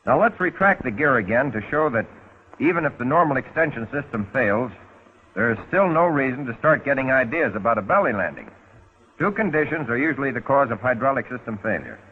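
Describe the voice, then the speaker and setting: A male American narrator delivers scripted 1940s military-style instruction through a thin, phone-like old film soundtrack. His voice is medium in pitch and rough around the edges. He explains step by step with a folksy, wry streak. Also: sing-song, fairly quick.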